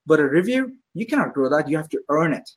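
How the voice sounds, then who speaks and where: A man's voice: on the high side, sing-song, fast, breathy edge. A man who owns a pizzeria, chatting as a podcast guest and telling stories with enthusiasm, acting out what his customers say. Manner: enthusiastic storyteller, acts out customers' voices. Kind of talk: casual interview chat.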